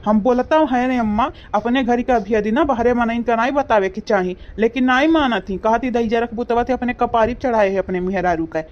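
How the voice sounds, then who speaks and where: A woman's voice: a little high, darkish tone, muffled recording, natural voice. A woman voicing a weary adult village husband in a cartoon, speaking with an Awadhi accent in a plaintive, grumbling way. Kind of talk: cartoon comedy voice acting.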